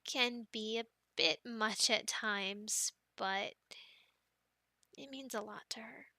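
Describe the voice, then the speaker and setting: Young woman, soft rambly girlfriend roleplay, bright slightly high voice, dry close mic. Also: slow, no echo. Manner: soft, chatty and rambling, with many ums and light laughs. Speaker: ASMR roleplay creator.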